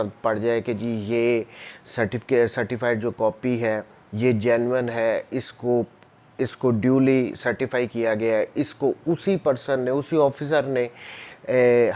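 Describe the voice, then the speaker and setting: A Pakistani man speaks as a law lecturer at a medium pitch. He reads formal legal wording, then explains it step by step, repeating key points and mixing English terms into his explanations. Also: darkish tone. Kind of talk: explanatory lecture, reading statutes and explaining them.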